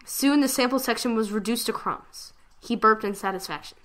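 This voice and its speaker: A woman giving a dramatic reading of a fan fiction story, with expressive delivery. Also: on the high side, normal speed.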